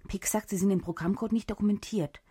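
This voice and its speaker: An actress playing a brash, sharp-tongued special agent in a cyber thriller radio drama, husky and creaky, with a sarcastic, commanding manner. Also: female voice, mid-range voice, fairly even intonation, quick pace, natural voice. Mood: matter-of-fact. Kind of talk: German radio drama acting.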